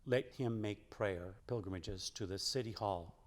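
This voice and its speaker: A man's voice: fairly low pitch, a little slow. A man, a church member, reading quotations aloud in a solemn, scripted way. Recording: long hall reverb.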